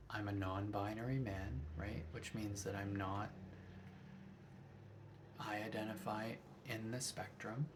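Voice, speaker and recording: A middle-aged man giving a thoughtful, unhurried spiritual reflection to his viewers, often asking "right?". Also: lowish voice, a little hiss or hum. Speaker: vlogger.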